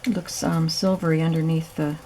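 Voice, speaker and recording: Hoarse raspy woman talking thru her crafting, low voice, chatty n relaxed. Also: fairly quick, a little hiss or hum, poor audio. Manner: relaxed, chatty, thinking aloud while working. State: hoarse and raspy from little sleep. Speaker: craft video host. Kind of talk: casual crafting narration. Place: home craft room.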